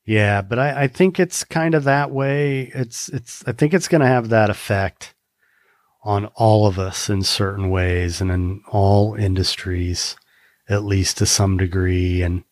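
A man's voice: fairly low pitch, a little slow, no echo, studio quality. An American man talking earnestly in a casual conversation, rambling a little with restarts. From United States.

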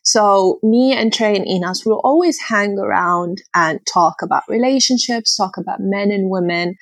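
A woman whose first language is Arabic, speaking earnestly as an interview guest, sometimes pausing to search for words. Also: medium pitch, no echo. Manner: earnest, searching for words, laughs between thoughts. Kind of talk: conversational interview.

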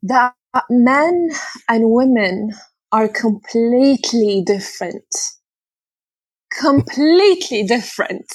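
A woman whose first language is Arabic, speaking earnestly as an interview guest, sometimes pausing to search for words. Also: on the high side, no room sound. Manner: earnest, searching for words, laughs between thoughts. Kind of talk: conversational interview.

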